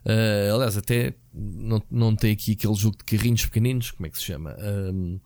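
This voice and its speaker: Homem rouco, voz grave, seca. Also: male voice, fairly low pitch, brisk, no room sound. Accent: European Portuguese. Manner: relaxed, rambling, many fillers and restarts. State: hoarse. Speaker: podcast co-host. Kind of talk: casual chat about video games.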